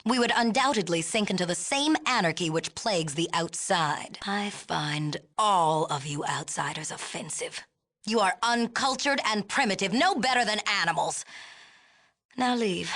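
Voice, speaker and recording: A woman's voice: mid-range voice, normal speed, a bit bright. A woman playing a haughty, imperious leader of a city in a video game, speaking formally and precisely with a condescending manner. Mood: formal, wary, then indignant, contemptuous. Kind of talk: video game character dialogue.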